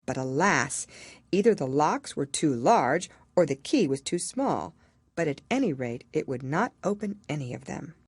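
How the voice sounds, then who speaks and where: A woman reading a storybook aloud as scripted narration, in an expressive, measured storytelling manner. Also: sits low, husky, no echo. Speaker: audiobook reader.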